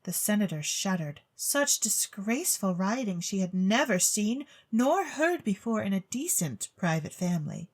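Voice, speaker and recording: A woman reading a story as a theatrical narrator, with expressive, precise literary delivery. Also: medium pitch, moderate pace, hardly any pauses. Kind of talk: theatrical scripted storybook narration for an audio drama. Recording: music bed under the voice. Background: music.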